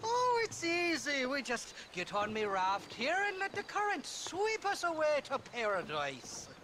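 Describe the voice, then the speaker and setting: An actor playing a boastful, slippery Irish drifter with an easygoing, colourful way of talking in a video game. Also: male voice, extremely high pitch, wildly swooping pitch, unhurried, heavily acted. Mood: cajoling, carefree. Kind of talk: video game cutscene acting. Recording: noisy outdoor ambience behind voice.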